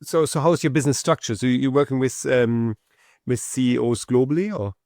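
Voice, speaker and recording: A male podcast host asking questions in a casual interview, halting and repeating words as he goes. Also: mid-range voice, darkish tone, no echo, studio quality.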